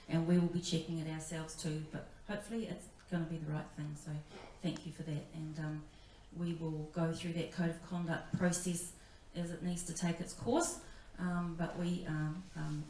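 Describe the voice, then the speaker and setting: A woman with a fairly low voice speaks as a board member at a public meeting. She is halting and conciliatory, heard from a distance in an echoey room. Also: flat delivery, warm tone. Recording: echoey hall, distant mic, faint noise.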